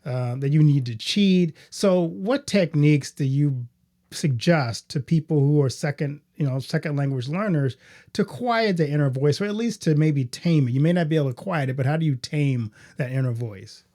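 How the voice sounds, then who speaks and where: A male host asks thoughtful, measured interview questions. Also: a little high, dark tone. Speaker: podcast host.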